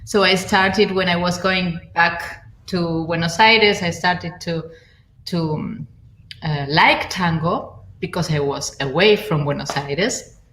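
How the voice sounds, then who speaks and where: A woman from Argentina introduces herself on a video-call panel. Her voice is a little low and her pace very slow and unhurried. The room echoes around her. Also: a bit bright, slight background noise. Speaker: panel guest.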